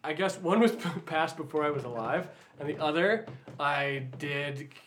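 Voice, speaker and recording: A man's voice: fairly high pitch, normal speed, a bit bright, slight background noise. A man with a Canadian accent, a band member being interviewed, who rambles through stories and laughs at himself. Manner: rambling storyteller, self-deprecating, chuckles. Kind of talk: casual interview chat.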